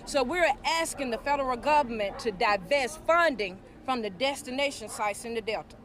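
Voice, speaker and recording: Higher-voiced woman interviewed outdoors. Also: expressive, normal speed, a bit bright. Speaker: activist family member interviewed for news. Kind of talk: interview soundbite.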